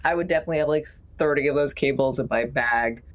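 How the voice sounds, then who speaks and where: A woman's voice: rumbling low, quick pace. A woman player in casual game-table chat, playful and thinking aloud.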